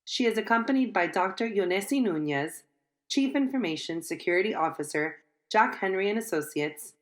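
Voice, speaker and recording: Female announcer, formal scripted intro, lively pitch. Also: normal speed. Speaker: event announcer.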